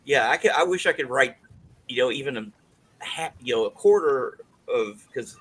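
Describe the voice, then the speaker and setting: A man's voice: mid-range voice, animated, normal speed, fairly bright tone, husky, rough recording. A man hosting a live stream, reading out viewer comments in casual chat. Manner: reads viewer comments, loses his train of thought.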